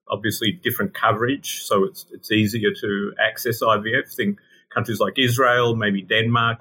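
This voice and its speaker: A middle-aged Australian man with a bright, forceful tone, sitting somewhat low in pitch. He talks casually and haltingly, restarting phrases as he works out his answers. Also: husky, rough recording. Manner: hesitant, repeats words while thinking. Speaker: guest scientist.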